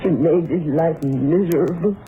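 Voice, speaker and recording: A woman's voice: low voice, unhurried. An actress playing an older, grieving and bitter mother in a 1950s radio drama. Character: grieving, bitter elderly mother of a murdered son. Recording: tinny 1950s radio recording, faint hiss.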